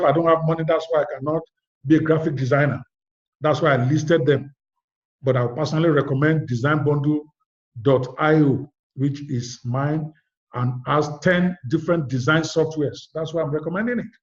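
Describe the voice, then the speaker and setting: A man's voice: fairly high pitch, darkish tone, highs cut off. A man teaching a live online class in a direct, chatty way, often spelling out web addresses.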